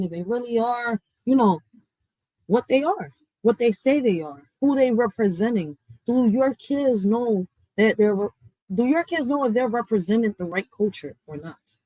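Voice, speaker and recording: An American vlogger talks straight at the audience in a preachy, urging tone. The sound is dry and close, with no room around it, and the pitch sits in a middle range. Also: a little mellow, dry recording, low-quality recording. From United States. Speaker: vlogger commenting on a clip. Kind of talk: casual commentary to viewers.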